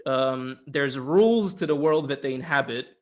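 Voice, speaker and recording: A man co-hosting a podcast, explaining and discussing a film in a casual, measured conversation. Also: medium pitch. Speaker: podcast co-host. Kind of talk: casual film review chat.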